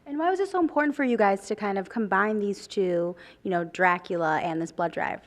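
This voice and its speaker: A woman's voice: mid-range voice, a little fast. A woman reporting live on location for a morning show, chatty and playful as she interviews guests. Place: on location inside a community theater. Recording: background music behind voice.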